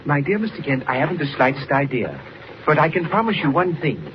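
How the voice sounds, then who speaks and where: An actor playing a genteel, unflappable elderly scientist, courtly and musing, in a 1940s radio serial. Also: male voice, on the high side, very animated, brisk. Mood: composed, knowing. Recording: muffled, noisy old 1940s radio recording.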